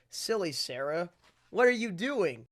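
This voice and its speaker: Slow, very animated storytime reader. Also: mid-range voice. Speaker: host reading storybooks aloud. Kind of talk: mock storytime reading with deadpan asides.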